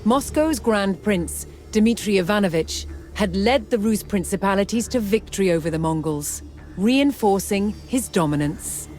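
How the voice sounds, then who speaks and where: A woman reading scripted documentary narration in a measured, dramatic storytelling manner. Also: medium pitch, lively intonation. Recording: music under the voice. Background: music.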